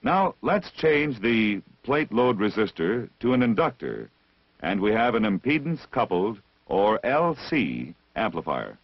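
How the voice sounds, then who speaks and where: A man reading scripted technical instruction as the narrator of a vintage military training film, measured and deliberate, on a dull old film soundtrack. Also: on the low side, very animated, breathy edge, dry recording. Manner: measured, didactic, deliberate enunciation.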